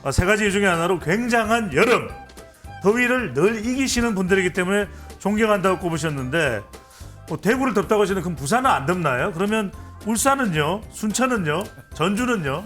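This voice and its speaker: Male co-host, brisk and ribbing, high voice. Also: expressive, fairly bright tone, soft background music. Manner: ribbing, rapid-fire questions. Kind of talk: hosting a political talk show.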